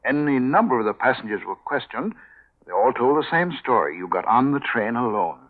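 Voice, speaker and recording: An actor playing a calm, placating doctor with a measured, reassuring manner in 1940s radio drama style. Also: male voice, mid-range voice, no echo. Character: calm, placating male doctor soothing a patient. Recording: thin, narrow-band old radio recording.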